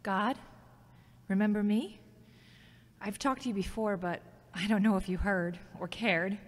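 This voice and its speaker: A woman's voice: medium pitch, fairly even intonation, unhurried, lightly acted. A woman acting a worried, frank woman who prays in a wry, informal way in an audio drama. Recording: echoey hall with long reverb.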